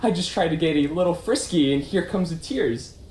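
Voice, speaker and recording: A man performs an emotional, defensive suspect in puppet show melodrama. His pitch swings widely and his delivery is halting, in a roomy, echoing space. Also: expressive, darkish tone, natural voice. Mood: distraught. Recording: roomy echo with faint background noise.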